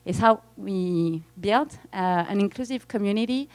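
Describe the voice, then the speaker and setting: A woman in her forties with a French accent, giving friendly, slightly halting remarks as a games executive. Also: mid-range voice, darkish tone, clear, resonant tone. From France. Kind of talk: conference remarks.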